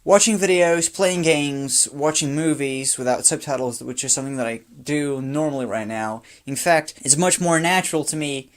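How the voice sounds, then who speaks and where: A man's voice: fairly high pitch, normal speed, few pauses. Rambling teenage Brazilian guy. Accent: light Brazilian Portuguese. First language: Portuguese. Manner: rambling, many restarts and repeated words. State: tired. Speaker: vlogger. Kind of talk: off-the-cuff personal talk to camera. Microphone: phone camera.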